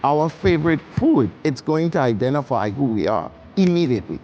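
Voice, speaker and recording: A man's voice: medium pitch, very animated, fairly quick, dark tone, dull audio, a little hiss or hum. A man from the Bahamas, a chef speaking emphatically and passionately as an interview guest. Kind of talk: conversational interview answers.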